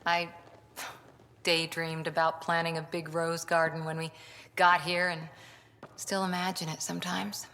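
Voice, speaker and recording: An actress playing an earnest former commando who longs to belong, frank and reflective in short clipped phrases, in a video game. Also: female voice, fairly low pitch, flat delivery, unhurried, pushed and bright. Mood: wistful, hurt. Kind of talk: video game dialogue acting. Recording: long reverberant hall sound.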